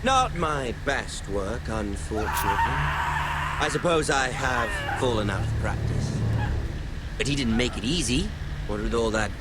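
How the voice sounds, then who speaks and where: A man's voice: medium pitch, expressive, heavy background noise, natural voice. An actor playing a sadistic young lord in a video game, playful and gloating, drawing out his taunting phrases. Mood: gleeful menace. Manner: theatrical, taunting, drawn-out phrasing.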